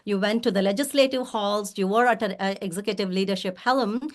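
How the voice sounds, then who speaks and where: A woman's voice: medium pitch, a little fast. A woman moderating a panel discussion, introducing speakers and putting questions to them.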